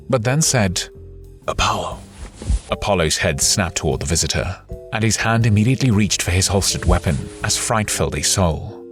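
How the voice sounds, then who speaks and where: Male storyteller, very low voice, dramatic scripted read, clear music bed underneath. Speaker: narrator and voice performer of an audio story.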